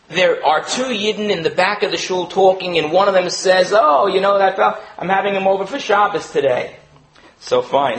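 A man giving a religious lecture, an animated storyteller who illustrates points with stories and quoted voices. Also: fairly high pitch, a little hiss or hum, poor audio. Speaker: rabbi teaching a lecture.

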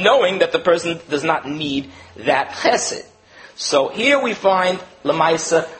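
A man giving a religious lecture, an animated storyteller who illustrates points with stories and quoted voices. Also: high voice, poor audio. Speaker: rabbi teaching a lecture.

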